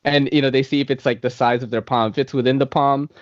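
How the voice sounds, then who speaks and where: A man in his late thirties hosts a loose podcast chat, easygoing and quick to laugh, his speech full of "like" and "man". The recording is dry and a little dull, lo-fi with no room sound. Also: mid-range voice, fairly even intonation, no echo. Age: 30s. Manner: laid-back, laughs often, says "like" and "man" a lot. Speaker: podcast host. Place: New York City.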